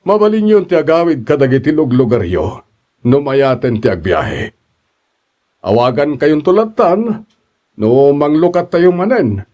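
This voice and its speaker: A man's voice: on the high side, very animated, a little slow, pauses often, darkish tone. A man playing a kindly, regretful eatery boss in an Ilocano radio drama, apologetic and considerate.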